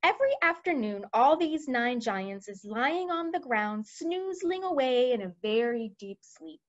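A woman voicing a gentle, excitable giant from a children's storybook, exclaiming in muddled, childlike grammar with garbled words. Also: sits high, unhurried, highs cut off. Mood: eager explaining.

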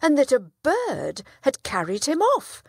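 A woman's voice: high-pitched, huge pitch swings, unhurried, vocal fry, no echo, clean studio sound, pitched above the performer's own voice, heavily acted. A woman voicing a shrewd male village trader, speaking up earnestly and insistently.

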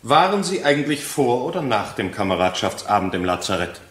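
Sharp, bright-voiced defence lawyer. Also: male voice, medium pitch, big pitch swings, a little fast. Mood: sceptical, challenging. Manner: formal, challenging. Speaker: actor playing a defence lawyer. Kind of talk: German radio crime drama acting. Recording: roomy, echoing courtroom sound.

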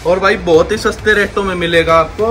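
A young man with a slightly raised voice rattles off a pushy, repetitive sales patter. He is filmed on a handheld phone inside a large clothing warehouse. Music plays clearly behind him. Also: high-pitched, steady tone, fairly quick, a bit bright. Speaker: wholesale clothing seller. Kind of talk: sales pitch video.

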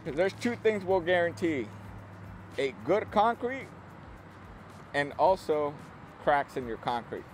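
A man's voice: a little high, sing-song, slow, long pauses. A man in his thirties presenting an unscripted walkthrough of a building site, explaining informally and often rephrasing himself. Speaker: construction company presenter giving a site tour. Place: outdoors on an open steel-frame construction site. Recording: clear outdoor site and vehicle noise.

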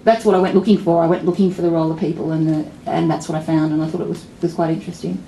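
A woman's voice: low voice, steady tone, racing, gravelly, dark tone. A middle-aged Australian woman, a political journalist giving a talk at a pub event, thoughtful and wry, with many fillers and restarts, speaking into a stand mic. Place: pub function room with audience. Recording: roomy venue, faint ambient noise.

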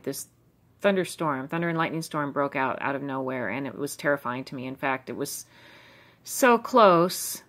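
A middle-aged American woman with a low voice talks over her old diaries. She drifts from thought to thought and fills the gaps with ums and you-knows. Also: a bit bright. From United States. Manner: musing, stream of consciousness, many fillers. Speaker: vlogger reading her old journals. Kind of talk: rambling personal commentary.

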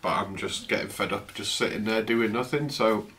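A man in his fifties, croaky from a flu, chatting casually through an unboxing in his home office, recorded on a camera's built-in mic. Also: lowish voice, moderate pace. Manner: relaxed, chatty, trails off. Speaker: tech vlogger. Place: home office, indoors, seated at a desk.

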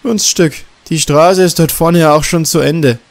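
A man's voice: fairly high pitch, steady tone, unhurried, pitched above the performer's own voice. A man voicing a doubtful, insistent woman journalist with a mocking streak in an audiobook. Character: sceptical woman journalist, gently mocking and uneasy. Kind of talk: audiobook dialogue.

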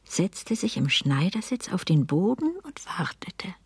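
Low, slow whispering mother. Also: very animated, no echo, exaggerated voice. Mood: detached. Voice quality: breathy whisper. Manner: measured, detached.

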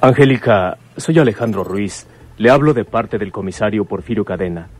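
A man's voice: lowish voice, normal speed, a little mellow, lightly acted. A man playing a courteous, formal caller in a radio serial. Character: courteous, formal man calling on an official's behalf. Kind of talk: radionovela acting.

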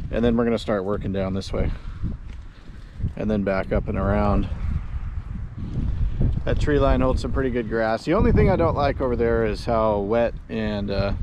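A man who raises sheep, chatting casually as he narrates a farm vlog outside on a windy pasture. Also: medium pitch. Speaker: sheep rancher and vlogger. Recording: noisy outdoor wind and ambience.

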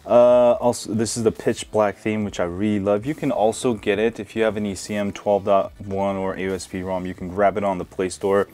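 Low-voiced man, chatty tech reviewer. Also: fairly quick, hardly any pauses. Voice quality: relaxed, with many "uh" fillers. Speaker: tech video host. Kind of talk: casual hands-on phone review. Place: indoors at a desk.

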